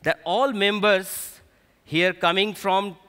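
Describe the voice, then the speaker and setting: Middle-aged guy w indian accent doing a tech talk on stage, kinda high sharp voice, big echoey hall. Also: fairly even intonation, slow pace, clean tone. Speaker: technical director presenting at a conference. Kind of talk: technical conference presentation. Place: stage of a large conference hall. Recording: echoey large hall PA sound.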